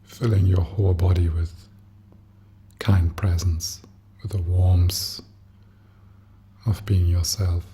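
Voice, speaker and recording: A man leading a guided meditation as a teacher, speaking gently and softly in an unhurried manner with long pauses. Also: sits low, little pitch movement, a little hiss or hum.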